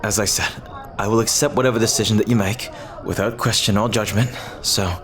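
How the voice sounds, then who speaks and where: A man playing an earnest, lovestruck pirate captain in an ASMR roleplay, speaking haltingly and tenderly in formal, old-fashioned phrases. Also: on the low side, steady tone, a crowd in the background, close to an everyday voice. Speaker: audio roleplay performer.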